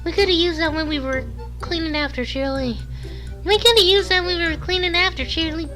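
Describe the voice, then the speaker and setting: A woman's voice: very high voice, a little fast, sharp tone, soft background music, voice pushed up. A woman voicing an anxious young schoolgirl filly, rehearsing lines for an amateur cartoon fan drama. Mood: regretful.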